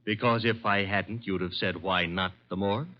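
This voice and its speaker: A man playing a brisk, wisecracking detective's assistant in an old-time radio drama, with a wry, needling manner. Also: fairly low pitch, fairly even intonation, close to an everyday voice. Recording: dull vintage radio recording.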